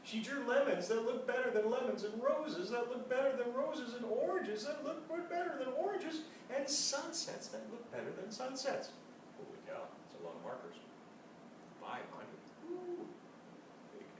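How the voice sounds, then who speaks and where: A man reading a children's storybook aloud in an animated style, with casual asides. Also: very high voice, moderate pace. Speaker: storybook reader. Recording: echoey room, distant mic, faint noise.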